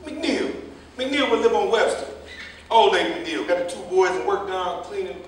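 Guy acting gossipy cab driver in a play, highish voice, indignant, very echoey room. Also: normal speed, close to an everyday voice. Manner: chatty, indignant, long-winded storytelling. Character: gossiping, meddlesome male jitney cab driver. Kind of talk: staged reading of a stage play. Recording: echoey stage, distant mic, faint noise.

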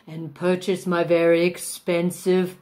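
A woman's voice: fairly low pitch, flat delivery, measured pace, mellow, close to an everyday voice. A woman playing a vain old stage diva. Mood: grandiose. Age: older. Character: pompous aging female stage diva, vain. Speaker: acting teacher in a mock masterclass. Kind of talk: comic character monologue.

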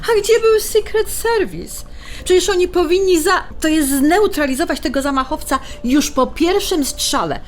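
A woman's voice: extremely high pitch, expressive, normal speed. An actress playing an opinionated older mother who argues indignantly and frets over her family in an audio drama. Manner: indignant, argumentative, exclamatory. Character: older Polish-American mother, opinionated, huffy, anxious about family.

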